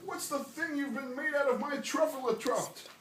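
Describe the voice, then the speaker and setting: A man voicing a small, old, bossy storybook creature who scolds indignantly in a sharp, loud voice. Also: extremely high pitch, moderate pace, voice pushed up. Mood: outraged. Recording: echoey noisy classroom, distant mic.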